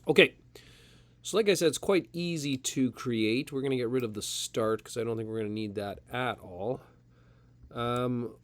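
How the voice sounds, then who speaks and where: A man with a slight head cold, casually talking through a programming tutorial at his computer, with plenty of fillers along the way. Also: medium pitch. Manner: relaxed, talks through steps with fillers. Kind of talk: casual screen-recorded coding tutorial.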